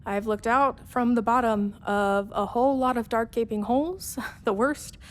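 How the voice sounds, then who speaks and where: Troubled female ship engineer, acted by a woman; high voice, confessional, wry self-mockery. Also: normal speed, close to an everyday voice. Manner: confiding, wry monologue. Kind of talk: radio play monologue.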